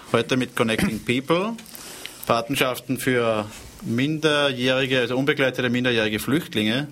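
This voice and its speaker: Male radio host, easygoing chat, a bit hesitant, faint hiss. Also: medium pitch. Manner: relaxed and chatty, light hesitations. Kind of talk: community radio talk show. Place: radio studio.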